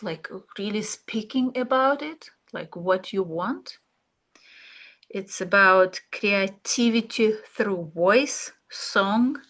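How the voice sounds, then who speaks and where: A woman, an English speaker, teaching an art therapy class, speaking slowly and reflectively with fillers and pauses. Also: mid-range voice, a bit bright. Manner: slow, searching, with fillers and pauses. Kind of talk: relaxed reflective class talk.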